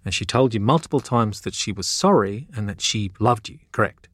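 A man's voice: on the low side, animated, brisk, no room sound, studio quality. An actor playing a pointed, pressing male barrister, putting leading questions in a re-enacted cross-examination. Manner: leading questions with clipped tags.

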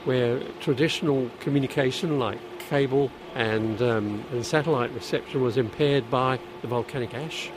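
A man explains things thoughtfully, pausing and hesitating now and then. His voice sits at a middle pitch, and clear outdoor noise with passing vehicles runs behind him. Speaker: technical specialist. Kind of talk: news interview clip.

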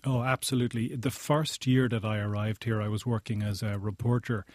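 An Irish man being interviewed in a studio, explaining things in a measured way. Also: lowish voice, fairly even intonation, darkish tone, dry recording. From Ireland. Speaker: interview guest, journalist. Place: radio studio.

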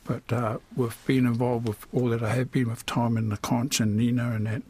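A man's voice: medium pitch, little pitch movement, normal speed, darkish tone, husky. An older man with a New Zealand accent, speaking candidly and colloquially as an interview guest, reflecting on his own life. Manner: candid, colloquial, often adds "you know" and "eh". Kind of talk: reflective personal interview talk.

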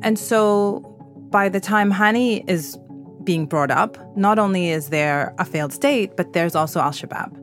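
Woman, low voice, documentary narrator, measured and earnest, soft music under. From East Africa. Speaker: podcast host and narrator.

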